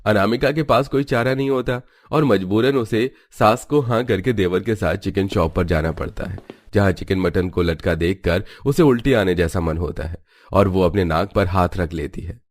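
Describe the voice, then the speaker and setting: A man's voice: low voice, normal speed, dry recording. A man narrating a Hindi family cartoon story in a dramatic storytelling style.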